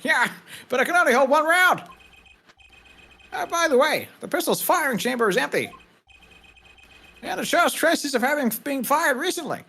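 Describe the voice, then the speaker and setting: Woman as blustery male detective, video game fan dub. High voice, very animated, folksy and emphatic. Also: moderate pace, pauses often, full character voice. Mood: dutiful, matter-of-fact. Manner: folksy, emphatic, ends phrases with pal or sir. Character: gruff, brash male police detective, loyal and blustery.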